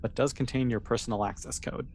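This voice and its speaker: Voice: mid-range voice, fairly even intonation, quick pace, darkish tone. Casual game master with noisy background. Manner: casual, conversational. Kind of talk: narrating an improvised roleplaying scenario with casual chat.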